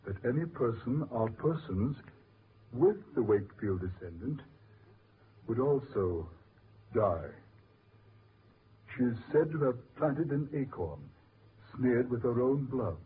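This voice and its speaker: A mid-pitched man's voice from an actor playing a skeptical gentleman in a vintage radio mystery. He speaks formally, with measured, declamatory phrasing. The sound is narrow and lightly noisy, like an old broadcast. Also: lightly acted. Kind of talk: 1940s radio drama acting. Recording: noisy, tinny 1940s radio recording.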